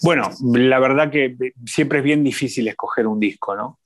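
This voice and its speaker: Expressive Argentine man telling stories. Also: medium pitch, moderate pace. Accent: Argentine Spanish. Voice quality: warm, expressive, lingering on repeated words. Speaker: singer, interview guest. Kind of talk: reflective conversational storytelling.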